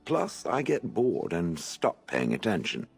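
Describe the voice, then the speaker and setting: An ancient stone golem from a video game, with a low, raspy voice that rises and falls expressively. It sounds grumpy and haughty, full of dry scorn for soft humans. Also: male voice, wildly swooping pitch, measured pace, soft background music, exaggerated voice. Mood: musing, dry. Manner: sardonic, haughty, blunt, dry. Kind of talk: video game dialogue acting.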